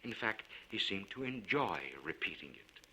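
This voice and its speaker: A man reading a literary story aloud in a measured, formal and expressive way. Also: sits low, breathy edge, rough recording. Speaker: audiobook narrator.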